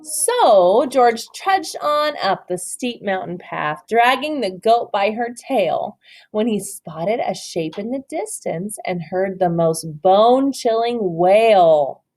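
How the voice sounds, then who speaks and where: Animated, slow-paced woman reading a storybook. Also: medium pitch. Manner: expressive, pausing to point things out and question listeners. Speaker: read-aloud storyteller. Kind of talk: children's picture book read-aloud with chatty asides.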